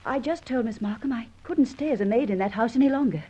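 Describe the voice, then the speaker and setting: An actress playing an earnest, gently reproachful young woman, the confidante of an adventurer, in old-time radio drama. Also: on the high side, fast, slightly rough. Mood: weary. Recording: dull vintage radio recording.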